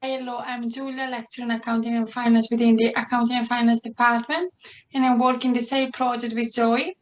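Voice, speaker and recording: Quick-speaking woman lecturer. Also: fairly high pitch, little pitch movement, a little hiss or hum. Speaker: accounting and finance lecturer.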